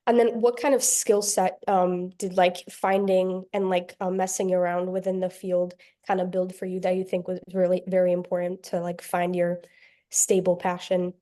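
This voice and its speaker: Teen woman audience member, tentative, flat, soft-toned question. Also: fairly low pitch, moderate pace. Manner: hesitant, many "um"s and "like"s.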